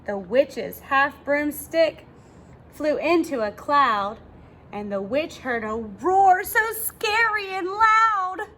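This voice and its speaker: A woman reading a children's story aloud expressively, pausing to ask her young listeners questions like a teacher. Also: high voice, animated, sharp tone, slight background noise. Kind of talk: animated children's read-aloud.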